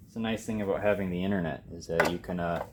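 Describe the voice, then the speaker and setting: A man's voice: deep voice, fast talker, background noise. A man giving casual, unscripted, hands-on commentary as a vlog host, rambling and self-deprecating, recorded in a basement workspace. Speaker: host of an electronics repair vlog.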